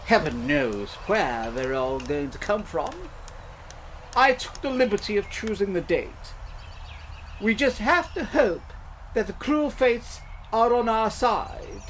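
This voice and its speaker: A man's voice: high voice, sing-song, a bit bright, slight background noise, heavily acted. A man playing a wordy, pedantic and self-important newspaper publisher in a comedy audio drama. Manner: pedantic, florid, deliberate. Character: pompous, wordy small-town newspaper publisher.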